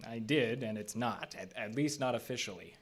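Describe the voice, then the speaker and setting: An actor playing an affable, mildly skeptical park ranger in a live audio drama, easygoing and a little hesitant. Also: male voice, medium pitch, moderate pace, lightly acted.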